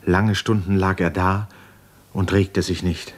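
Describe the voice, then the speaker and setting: Low-pitched, grave male narrator. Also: little pitch movement, slow pace. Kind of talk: scripted literary narration, 1950s German radio drama.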